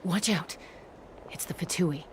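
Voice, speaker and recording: A woman playing a calm, resolute young female scout in a video game, speaking in a measured, decisive way. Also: fairly low pitch, a little hiss or hum, lightly acted. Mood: composed, watchful. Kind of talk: video game dialogue acting. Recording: game music under the voice. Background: game music.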